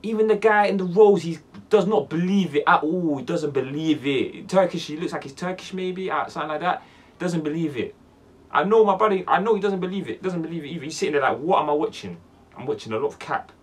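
A young man in his twenties with a London accent, hosting a music reaction video in casual slang-heavy banter, swinging from loud bursts to low mutters. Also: fast, husky. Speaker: music reaction channel host. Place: small dark room indoors.

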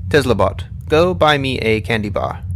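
A man playing an exasperated billionaire inventor in a cartoon, speaking flatly and haltingly. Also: medium pitch, a little hiss or hum, close to an everyday voice. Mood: self-satisfied. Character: exasperated male tech-billionaire inventor of a robot. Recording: music behind the voice.

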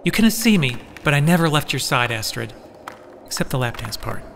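A man's voice: mid-range voice, big pitch swings, fairly quick, gravelly, a bit bright, a little hiss or hum. An actor playing a loyal, wry, reassuring male rescuer in an audio drama. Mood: wry, resolute.

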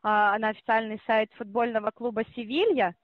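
A woman's voice: on the high side, steady tone, fairly quick, no echo. A woman working as a call-center operator on a phone call, explaining at length and insisting on her point. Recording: muffled phone line.

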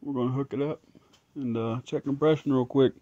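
A man's voice: medium pitch, steady tone, brisk, warm tone, no room sound. Guy talking to himself over an engine in his garage, kinda muffled phone audio. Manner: rambling, thinking aloud, frustrated. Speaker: home mechanic vlogger. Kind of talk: casual garage walkthrough. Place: home garage workbench. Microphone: phone camera held close.

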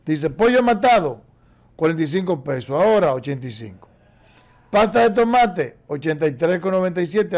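A man's voice: fairly high pitch, a little mellow. A man, giving emphatic economic commentary in a TV studio. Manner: emphatic, gestures constantly while explaining. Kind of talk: political commentary on a TV news show. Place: TV studio, seated in chair.

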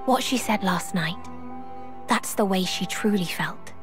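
A woman's voice: mid-range voice, measured pace, music in the background, lightly acted. A woman playing a perceptive, earnest young heroine in a video game cutscene, speaking thoughtfully. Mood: thoughtful, grave.

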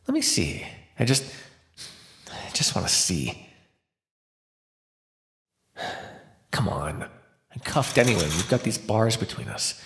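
A man's voice: on the low side, sing-song, fairly bright tone, full character voice. A man voicing a starving captive vampire in an immersive roleplay monologue, sardonic and flirtatious with a desperate edge. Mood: craving, pleading. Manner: sardonic, teasing, breathy pauses and sighs. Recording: roomy, echoing sound.

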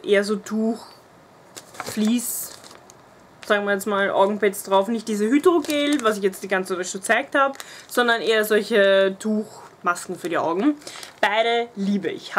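Viennese-accented woman, twenties, casual beauty vlog, chatty and gushing, camera at the desk, faint background noise. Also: medium pitch. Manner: chatty, gushing, laughs often. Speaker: beauty vlogger. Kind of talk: casual empties review. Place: small cluttered room at home, indoors.

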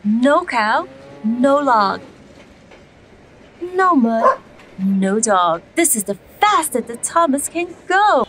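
A woman reading a children's story aloud expressively, with sing-song, drawn-out emphasis. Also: on the high side, very animated, background noise.

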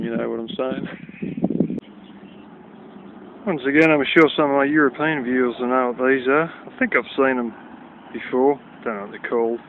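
A man's voice: mid-range voice, a little hiss or hum. A man with an Australian accent, casually rambling as he walks outdoors by a river, blunt and chatty with offhand swearing. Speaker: vlogger. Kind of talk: casual walking commentary. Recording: tinny phone-like outdoor recording.

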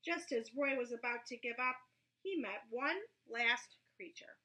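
Female storybook reader: high, very bright voice, unhurried, animated read-aloud. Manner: deliberate, animated. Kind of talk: expressive children's read-aloud. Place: small living room, indoors.

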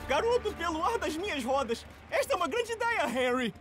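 A woman with a Brazilian accent voicing an excitable, big-hearted talking race car in a children's cartoon dub, with an exclamatory, dramatic manner. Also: very high-pitched, brisk, over music. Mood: excited. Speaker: cartoon character.

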